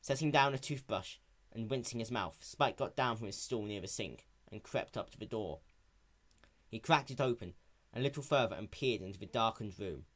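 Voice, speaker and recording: A man's voice: lowish voice, normal speed, fairly bright tone. A man narrating a scripted story in a dramatic reading style. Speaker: narrator of a fan fiction reading.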